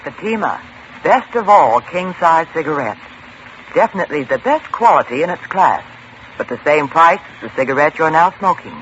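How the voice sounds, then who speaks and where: A man reading a radio commercial in a crisp 1950s selling tone. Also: fairly high pitch, expressive, normal speed, a bit bright. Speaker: commercial announcer. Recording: dull hissy old radio broadcast.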